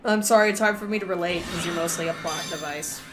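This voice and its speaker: A woman's voice: fairly low pitch, quick pace, over music. A woman giving casual, rambling, sarcastic commentary as she streams a game and reads its text aloud. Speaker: streamer, host.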